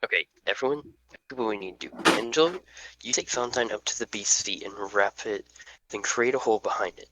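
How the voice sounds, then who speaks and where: A man's voice: fairly low pitch, fairly quick, background noise, lightly acted. A man playing a bold young male student team leader in an amateur online table read. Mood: determined.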